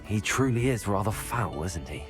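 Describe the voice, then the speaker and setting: An actor playing a level-headed young student in a video game, polite and composed with a dry, teasing edge. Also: male voice, fairly low pitch, big pitch swings, normal speed, soft background music. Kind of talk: video game character acting.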